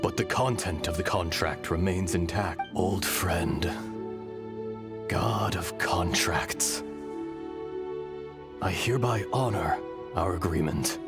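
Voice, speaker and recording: An age-old dragon spirit from a video game, very deep and slow. The delivery is solemn and fatalistic, in archaic phrasing, over background music. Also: male voice. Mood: solemn, resigned. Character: ancient male elemental dragon spirit, grave and fatalistic. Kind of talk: scripted video game dialogue. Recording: game audio with music behind.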